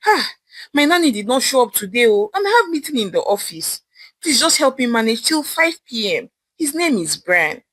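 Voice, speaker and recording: Woman acting harried Nigerian mum, folktale character, pleading, chatty, very animated, dry recording. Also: fairly high pitch, normal speed, breathy edge, dry recording, pitched above the performer's own voice, heavily acted. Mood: hurried, pleading. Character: harried, pleading working mother dropping off her child.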